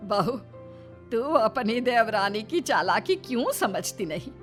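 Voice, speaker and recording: A high, animated voice of an older mother-in-law played by a woman. She is shrewd and exasperated, scolding in a storybook family drama over faint music. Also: slow.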